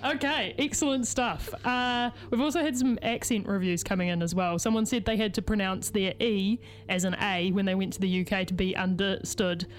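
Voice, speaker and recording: A woman hosting a casual radio chat in a studio, quick and friendly. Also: mid-range voice, fairly even intonation. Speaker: radio host.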